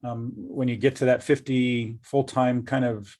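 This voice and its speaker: A man's voice: medium pitch, little pitch movement, a little slow, a little mellow. A middle-aged man hosting an interview, jokey and upbeat, rambling as he talks about his career. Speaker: host, company executive. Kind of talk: casual interview talk.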